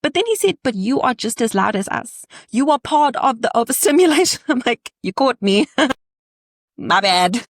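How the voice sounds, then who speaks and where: A woman's voice: on the high side, very animated, moderate pace, no room sound, clean studio sound. A woman in her thirties, a podcast guest, telling candid stories in conversation with playful asides and easy laughter.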